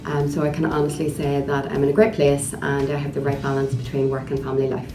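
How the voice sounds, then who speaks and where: A woman's voice: very deep voice, completely flat delivery, fast talker. A woman who is an associate director, speaking in a composed way with filler ums in an interview. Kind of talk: personal story in an interview. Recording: roomy room with background noise.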